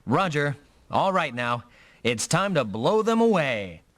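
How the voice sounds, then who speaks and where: A man's voice: a little high, sing-song, measured pace, noisy background. An actor playing an earnest, dutiful young male rookie mech pilot in an anime-style video game. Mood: businesslike.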